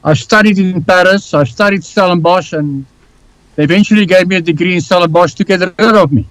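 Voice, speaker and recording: An older man with a South African accent, co-hosting over a video call in a wry, brisk way. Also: high voice, a bit bright, dry recording, poor audio. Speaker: co-host.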